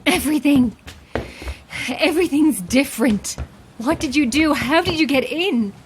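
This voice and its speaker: Lonely, haunted lighthouse keeper woman for horror radio play; raw, halting delivery, long reverb. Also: sits high, expressive, slight background noise. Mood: frantic defiance. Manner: halting, confessional, emotionally raw. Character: anguished, guilt-ridden lonely woman lighthouse keeper, increasingly frantic. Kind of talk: immersive horror audio drama acting.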